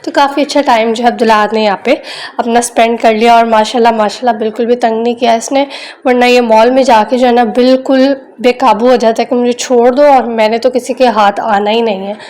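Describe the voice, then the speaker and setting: A chatty young woman narrates her day in a relaxed vlog voice-over. Her voice sits a little high, and her homely, rambling delivery leans on repeated filler phrases. A sore throat gives her a slight rough edge. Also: brisk. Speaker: vlogger.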